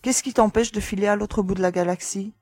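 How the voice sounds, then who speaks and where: A woman's voice: medium pitch, steady tone, brisk, darkish tone, dry recording, clean studio sound, close to an everyday voice. An actress playing a tough, self-assured young woman agent in a French space audio drama, direct and frank. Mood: probing.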